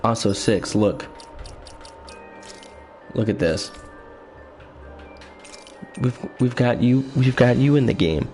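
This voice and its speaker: Gravelly joking man streaming a game. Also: mid-range voice, animated, slow pace, pauses often, a little mellow, soft background music. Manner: joking, self-mocking, chatty. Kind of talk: casual let's-play commentary.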